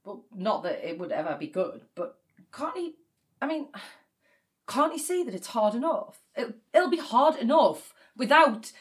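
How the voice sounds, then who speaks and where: A woman's voice: fairly high pitch, big pitch swings, a little slow. An actress playing a cynical, grieving woman, in a naturalistic audio drama. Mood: upset, indignant. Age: 30s. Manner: sardonic, exasperated. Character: cynical, grieving 37-year-old woman working as an elf.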